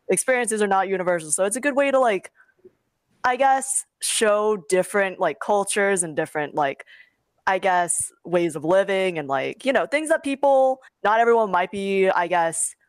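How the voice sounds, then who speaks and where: Woman, American accent, slightly low pitch, informal hedging talk, casual panel guest, close dry recording. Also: no room sound. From United States. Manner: informal, hedging, frequent "like" and "you know". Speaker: webcomic creator, guest.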